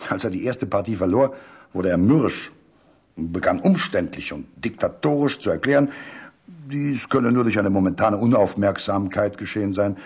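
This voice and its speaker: A man reading literary narration for a radio production in an articulate, measured and expressive storytelling manner. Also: lowish voice, breathy edge.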